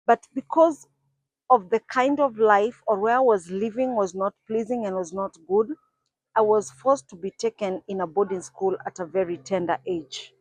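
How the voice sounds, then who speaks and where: A woman's voice: medium pitch, normal speed, no room sound, low-quality recording. A Kenyan woman in her forties, earnestly telling her own life story to her channel's viewers. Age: 40s. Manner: earnest, reflective, with occasional restarts. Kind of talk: personal storytelling to camera.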